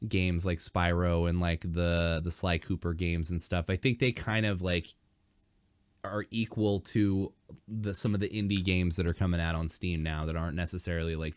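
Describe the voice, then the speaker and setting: Deep-voiced man hosting a podcast. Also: smooth, no room sound, studio quality. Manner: rambling, many fillers and restarts. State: dry throat, slightly hoarse. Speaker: podcast host. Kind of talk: casual podcast chat.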